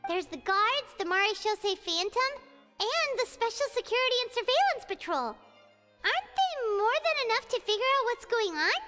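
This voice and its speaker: Tiny childlike game fairy, extremely high squeaky voice, swooping intonation, curious, excitable. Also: female voice, moderate pace. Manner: excitable, pushy questioning. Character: small childlike floating fairy companion, curious and chatty. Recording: background music, reverberant hall sound.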